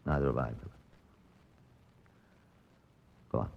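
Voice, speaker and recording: A low-voiced chief inspector, from an old radio police drama. He speaks in a clipped, matter-of-fact way, sober and curt. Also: fairly even intonation, very fast, vocal fry, dull audio, full character voice. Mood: dry, impatient. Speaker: actor and narrator in a radio crime drama. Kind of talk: old-time radio police procedural acting and narration.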